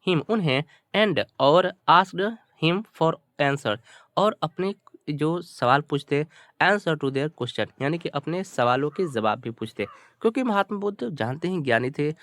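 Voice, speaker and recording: Higher-pitched man teaching word by word. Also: a bit bright, no room sound, clean studio sound. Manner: explanatory, repetitive, slow deliberate reading of English phrases. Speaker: teacher, channel host. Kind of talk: English lesson through story, reading phrases and translating word by word.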